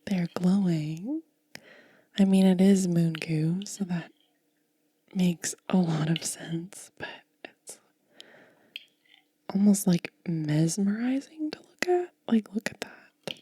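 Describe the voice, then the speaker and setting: A woman doing soft-spoken ASMR, mostly whispering in a gentle, breathy, casual way as she narrates a hands-on demonstration close to the mic. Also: lowish voice, expressive, no echo. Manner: gentle, unhurried, casual commentary. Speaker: ASMR video creator and host. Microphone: close mic, dry.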